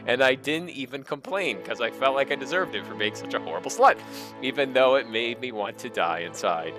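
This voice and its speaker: A man's voice: mid-range voice, expressive, fairly quick. A man narrating a comic dramatic reading of fan fiction in a mock-serious, theatrical way, with music behind him.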